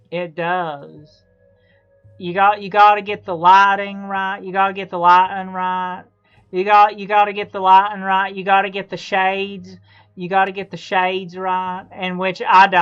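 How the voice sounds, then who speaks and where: A man in his thirties chatting casually as a live streamer, halting and repeating his phrases over and over. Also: sits high, flat delivery, smooth, dull audio, faint music behind. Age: 30s. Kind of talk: casual chat while gaming.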